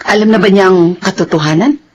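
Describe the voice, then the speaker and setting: A woman's voice: medium pitch, a little fast, husky, dull audio, close to an everyday voice. An actress playing a concerned, inquisitive female friend and coworker in a radio drama. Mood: concerned, surprised. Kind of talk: Filipino radio drama acting.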